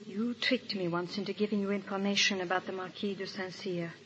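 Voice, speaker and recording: A woman's voice: mid-range voice, flat delivery, fairly quick, a bit bright, lightly acted. An actress playing a proud, anxious aristocratic lady in a 1930s radio drama, formal and earnest in a theatrical way. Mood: defiant. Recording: dull, noisy 1930s radio recording.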